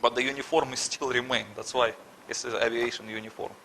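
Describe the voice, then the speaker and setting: A middle-aged man from Russia with a Russian accent, answering interview questions haltingly and searching for words. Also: mid-range voice, steady tone, gravelly, bright, forceful tone, slight background noise, rough recording.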